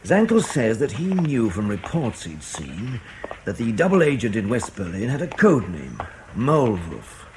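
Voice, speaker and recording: A man playing a dogged, controlled intelligence officer in a radio drama, questioning in a measured, pointed way. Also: on the low side, wildly swooping pitch, background noise, heavily acted. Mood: brisk, probing.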